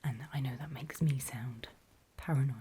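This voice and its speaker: A woman playing a wary, paranoid zombie apocalypse survivor in a horror ASMR roleplay, in a soft breathy whisper with hesitant, confiding delivery. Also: very deep voice, a little hiss or hum. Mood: hushed, wary warning. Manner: slow, hesitant, confiding, with pauses.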